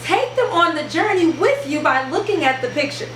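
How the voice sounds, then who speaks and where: A woman hosting an instructional video for parents and teachers, speaking in an animated, emphatic, teacherly way. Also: very high voice, moderate pace. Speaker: host of an education channel for parents and teachers. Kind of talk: upbeat instructional video to camera. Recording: roomy, distant mic, faint background noise.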